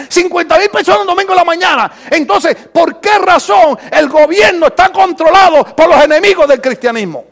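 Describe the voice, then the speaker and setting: A man preaching an animated sermon to a congregation, theatrical and humorous, swinging between murmured asides and drawn-out shouts. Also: very high-pitched. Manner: humorous, mimics people in dialogue.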